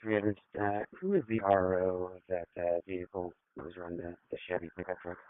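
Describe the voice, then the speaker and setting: A policeman with a deep, resonant voice trades short messages on the radio. The sound is dry and thin, like narrowband scanner audio from a two-way radio. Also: fairly quick, dry recording. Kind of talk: radio traffic exchange.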